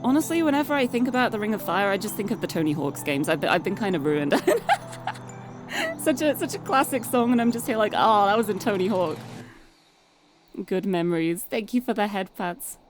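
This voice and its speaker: A woman's voice: medium pitch, animated, fairly quick. A woman from the UK with a British accent, live streaming a game, chatting and reading aloud. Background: music.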